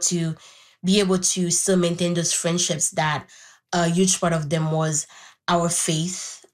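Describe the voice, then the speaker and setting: A woman in her twenties from Haiti whose first language is Haitian Creole, speaking thoughtfully in an interview with frequent pauses and restarts. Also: lowish voice, little pitch movement. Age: 20s. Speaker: interview guest. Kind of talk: reflective personal conversation.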